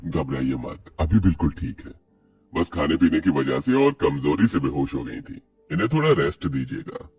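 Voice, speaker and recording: Man acting a very husky, concerned gentleman. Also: very deep voice, animated, normal speed, voice pushed down, exaggerated voice. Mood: reassuring. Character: concerned family man and reassuring male doctor.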